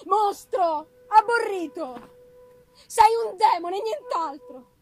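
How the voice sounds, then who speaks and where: A gothic scientist rages at his own creation in a woman's acted reading. The voice is high and loud, exclaiming with vehemence and horror. Curses come out furious and anguished. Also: lively intonation, slow pace, heavily acted. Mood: furious, disgusted. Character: enraged, horrified male creator cursing his monster. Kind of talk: dramatic audiobook-style reading of a gothic novel.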